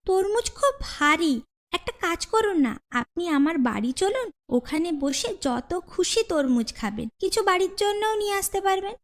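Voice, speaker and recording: A woman's voice: very high voice, very animated, a little slow, pitched above the performer's own voice, full character voice. A woman voicing a sly witch disguised as a sweet, servile vegetable seller, coaxing and deferential, in a cartoon tale. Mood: sweetly coaxing.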